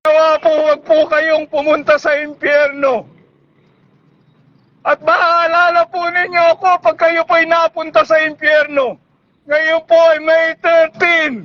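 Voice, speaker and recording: A man with a Filipino accent delivers a street sermon in a very high, strained-up pitch that stays on one level. He pleads and exhorts at shouting volume. The recording is dull and lo-fi. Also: completely flat delivery, a little slow. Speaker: street preacher. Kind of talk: evangelistic public sermon. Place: busy public bus terminal.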